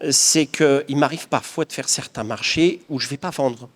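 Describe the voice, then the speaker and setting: A man's voice: mid-range voice, normal speed. A middle-aged man from the Paris region, answering interview questions in an earnest, reflective way with frequent restarts. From Paris region of France. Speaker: craftsman guest.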